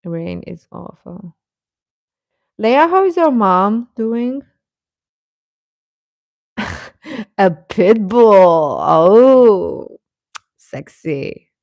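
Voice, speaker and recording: A woman's voice: medium pitch, big pitch swings, vocal fry, a little mellow, no echo. Casual woman chatting in a dry close space. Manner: informal, halting, often repeats short phrases. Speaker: livestream host chatting with viewers. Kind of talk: casual live chat, answering comments.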